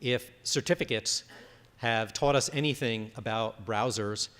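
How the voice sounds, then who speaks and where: A man's voice: medium pitch, measured pace, a bit bright, clean tone. A man giving a conference presentation and fielding audience questions. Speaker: conference speaker. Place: large conference room. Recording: reverberant hall.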